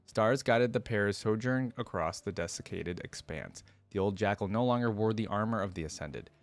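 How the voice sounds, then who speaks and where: A man's voice: fairly low pitch, dry recording. A man reading fantasy lore aloud as the host of a reaction channel, with casual, thoughtful commentary.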